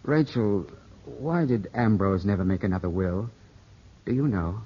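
An actor in a 1950s radio drama playing an earnest, brooding young gentleman, formal and intense in delivery. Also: fairly low pitch, unhurried, slightly rough. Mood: earnest confession. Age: young adult. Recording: dull, muffled old radio recording.

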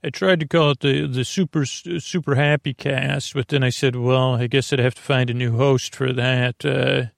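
Creaky gravelly guy doing a rambly sleep podcast, close dry sound, keeps stumbling and correcting himself. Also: medium pitch, fairly even intonation, no echo. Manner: meandering, hesitant, halting with self-corrections. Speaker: podcast host. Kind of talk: rambling, soothing bedtime monologue to the listener. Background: music.

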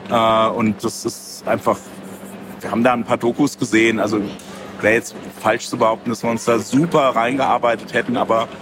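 A man's voice: medium pitch, level tone, a little fast, background noise. A man being interviewed as a comic creator, talking casually and thinking aloud in loose, rambling chains of clauses.

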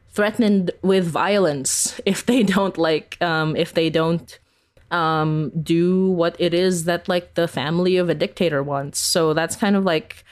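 A woman talking casually as an interview guest, informal and giggly, peppering her sentences with "like". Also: lowish voice. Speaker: interview guest, podcast creator.

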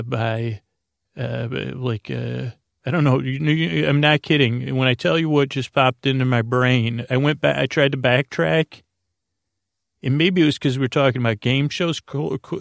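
A man hosting a bedtime podcast in a rambling monologue, halting and meandering as he stammers, droning along and interrupting himself. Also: creaky, no echo, clean studio sound. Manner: halting, meandering, self-interrupting, often stammers over words; droning, low-stakes delivery. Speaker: podcast host.

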